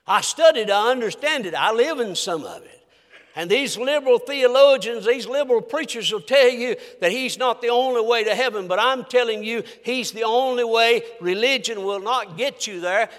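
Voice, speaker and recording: Male preacher, very high forceful voice, emphatic sermon delivery with drawn-out key phrases, big church reverb. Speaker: preacher, pastor. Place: large echoing church. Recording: long hall reverb.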